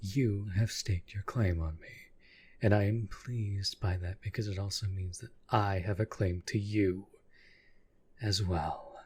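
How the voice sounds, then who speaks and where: Man acting a deep, husky possessive demon lover. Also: a little mellow, no echo. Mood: insistent. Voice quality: husky, breathy. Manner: slow, formal, deliberate, intense. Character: possessive jealous male demon, proud and devoted lover. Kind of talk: intimate scripted audio roleplay monologue.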